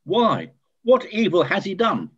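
An older man reads as a Roman governor in a scripture drama, questioning and husky. The pitch is fairly high and moves about a lot, over dull video-call audio. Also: huge pitch swings, unhurried, heavy background noise, exaggerated voice.

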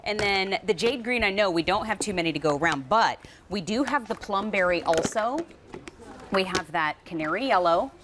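Casting: middle-aged woman, TV shopping host, animated pitch, upbeat pitch, faint studio hiss. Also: moderate pace. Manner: upbeat, enthusiastic sales patter. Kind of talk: live home shopping presentation. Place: TV studio kitchen set.

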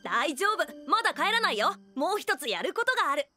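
A helpful little boy superhero for a children's cartoon. The very high voice is lively and swooping, full of earnest energy, with music behind it. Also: a little fast. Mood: earnest, determined. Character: eager, helpful six-year-old boy superhero. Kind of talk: dubbed children's cartoon acting.

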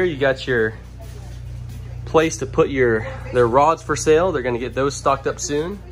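Upbeat young man vlogging outdoors. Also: on the high side. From Louisiana. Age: young adult. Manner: upbeat, addresses viewers directly. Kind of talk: casual walkthrough vlog. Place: outdoors by a waterside dock, then inside a small shop. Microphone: handheld camera held close.

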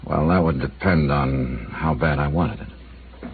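A man's voice: a bass voice, slow, heavily acted. An actor playing a tough, blunt insurance investigator in a 1950s radio drama, pressing his questions in a dry way. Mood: probing. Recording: tinny old radio broadcast with hiss.

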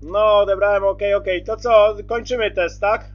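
A man's voice: high-pitched, steady tone, moderate pace, lo-fi sound, faint music behind. A man talking casually as a CB radio operator, repeating himself as he checks and confirms reception. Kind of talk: casual radio contact talk.

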